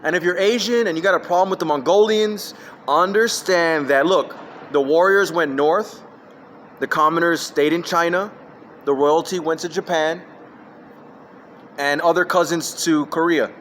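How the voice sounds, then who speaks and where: A man's voice: on the high side, moderate pace, a bit bright, a little hiss or hum. A man ranting alone into his phone, jumping from one topic to the next with emphatic, scattered delivery. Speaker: vlogger. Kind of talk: rambling solo rant.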